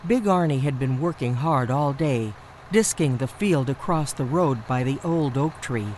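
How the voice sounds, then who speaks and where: A woman playing an ominous mystery theatre storyteller, narrating a scripted horror radio play in a measured way. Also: extremely low pitch, animated, darkish tone, a little hiss or hum.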